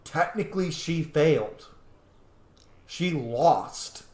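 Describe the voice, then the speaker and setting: A man's voice: on the high side, lively intonation, takes it slowly, a little mellow. A man giving casual playthrough commentary as a game streamer, informal, sweary and reactive. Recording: echoey room.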